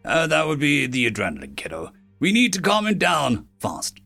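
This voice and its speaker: A man voicing a stern, commanding female alien head nurse in a storybook reading, raising his voice high above his own. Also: huge pitch swings, moderate pace, creaky, sharp tone, faint music behind, exaggerated voice. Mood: firm. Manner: commanding, urgent.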